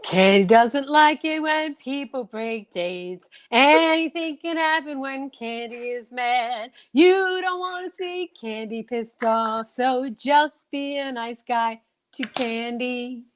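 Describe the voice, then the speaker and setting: Woman doing a theatrical sing-song chorus lady, smooth clear voice, kinda high, playful radio drama bit. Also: slow. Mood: playful, teasing. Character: playful commenting chorus singing the heroine's praises. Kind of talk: amateur old-time radio drama interlude.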